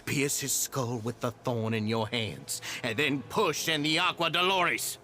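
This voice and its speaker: An actor playing a cruel, self-righteous prison gang boss in a video game, ranting in a theatrical, menacing and grandiose way. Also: male voice, mid-range voice, unhurried, noisy background, natural voice. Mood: furious, menacing.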